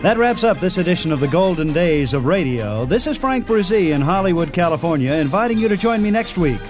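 A man's voice: sits high, very animated, a little fast. An American man hosting a nostalgic radio program, reading a scripted sign-off. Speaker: host of an old-time radio program. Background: loud music.

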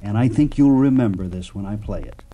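A man hosting a relaxed interview. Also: lowish voice, fairly quick, slight background noise, poor audio. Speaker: host, interviewer.

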